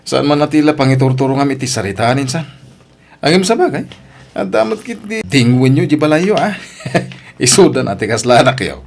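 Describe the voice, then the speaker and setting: A man's voice: mid-range voice, very animated, moderate pace, creaky. An actor playing a blunt, hardworking family man in a radio drama, with an Ilocano accent and an insistent, plain-spoken manner. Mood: taunting. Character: hardworking father and son, blunt with his relatives.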